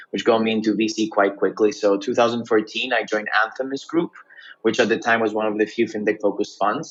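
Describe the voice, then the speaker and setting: A man's voice: on the low side, a bit bright, dull audio. A man from Greece telling his career story conversationally as an interview guest, often tagging phrases with "right?". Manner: frequent "right?" tags. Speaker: interview guest, venture investor.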